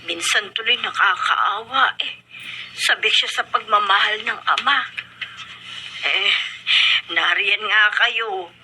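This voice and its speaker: A woman's voice: medium pitch, slow pace, pauses often, bright, forceful tone. A woman playing a concerned, deferential household servant with a hesitant manner in a Filipino radio drama. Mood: concerned, reproachful. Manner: hesitant, apologetic, stammering. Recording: faintly noisy radio recording.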